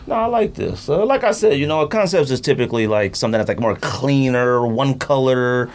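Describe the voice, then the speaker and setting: Animated man chatting casually, noisy background. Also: medium pitch, brisk. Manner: animated, slangy, playful. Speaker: podcast host. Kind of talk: casual sneaker chat.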